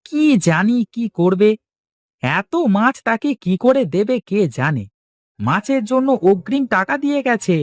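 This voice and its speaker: A man puts on a fish market worker for a cartoon. The voice is high and animated, that of a chatty villager who is always in a hurry. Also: measured pace, dry recording. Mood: harried. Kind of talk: Bengali cartoon dialogue acting.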